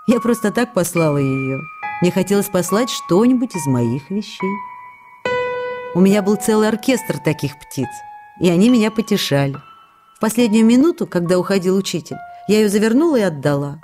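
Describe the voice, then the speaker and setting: A woman's voice: mid-range voice, lively intonation, moderate pace, over music, natural voice. An actress playing a devoted, eager wife reading her intimate, coaxing letters to her husband in a radio drama. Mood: apologetic, coaxing.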